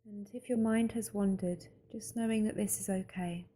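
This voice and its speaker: Very mellow woman guiding a meditation. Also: mid-range voice, slight background noise. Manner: calm, unhurried, gentle instruction with long pauses. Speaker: meditation guide. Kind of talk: slow guided mindfulness meditation narration.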